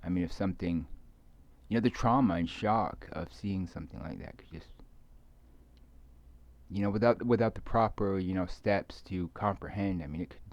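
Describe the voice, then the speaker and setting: A man giving a rambling, casual monologue to viewers, halting and repeating his words as he trails off. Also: low-pitched, vocal fry, no echo. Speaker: video creator speaking to viewers.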